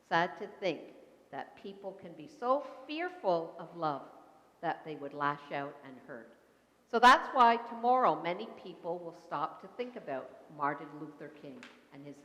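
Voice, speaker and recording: Female minister, lower register, gentle children's-time delivery, reverberant sanctuary sound. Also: dull audio. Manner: simple, gentle explanations, leading the congregation in call and response. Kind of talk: children's talk during a worship service. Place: church sanctuary. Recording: echoey church sanctuary.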